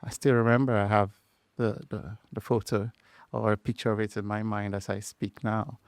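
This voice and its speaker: A man's voice: lowish voice, level tone, normal speed, no room sound. A man with a Nigerian accent, a podcast guest and engineer, speaking thoughtfully with hesitations and fillers. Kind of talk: conversational interview.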